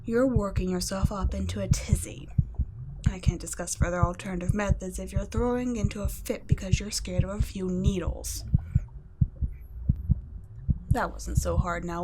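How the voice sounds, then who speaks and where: A woman voicing a cool, condescending female vampire scientist in an ASMR roleplay, soft-spoken and patronizing, often dropping to a whisper. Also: mid-range voice, very noisy, close to an everyday voice. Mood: impatient, then soothing.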